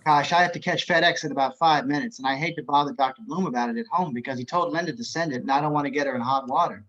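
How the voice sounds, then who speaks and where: A man's voice: on the high side, very fast, fairly bright tone, natural voice. A man playing an imprisoned psychiatrist in a table read, sly and courtly, with precise, politely mocking delivery. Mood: falsely folksy charm. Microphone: video call. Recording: dull video-call audio.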